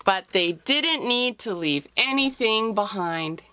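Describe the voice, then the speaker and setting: A middle-aged woman reads a children's picture book aloud in an expressive, deliberate storytelling manner. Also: mid-range voice, slight background noise. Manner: expressive, deliberate, with drawn-out phrases. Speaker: author reading her picture book aloud.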